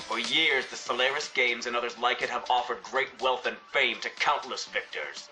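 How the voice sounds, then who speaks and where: A man's voice: medium pitch, normal speed, bright, forceful tone, soft background music. A male actor playing an earnest, stern spokesman in a video game, delivering a formal public statement. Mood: grave, reproachful.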